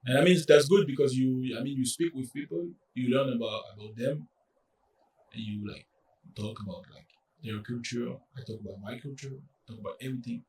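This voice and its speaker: Young man, French accent, non-native English, halting, lots of fillers, distant mic, faint noise. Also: mid-range voice, a little mellow. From France. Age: young adult. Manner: halting, many fillers and restarts. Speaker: college soccer player, guest. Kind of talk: casual interview.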